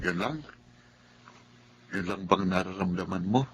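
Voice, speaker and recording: A man playing a gentle, inquisitive young older brother in a Filipino radio drama, with a Filipino accent and a questioning manner. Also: low-pitched, measured pace, a little hiss or hum. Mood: gently probing.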